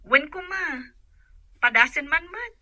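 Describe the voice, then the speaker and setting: High, very bright sweet female cousin. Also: animated, unhurried, exaggerated voice. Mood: friendly. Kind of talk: Ilocano radio drama acting.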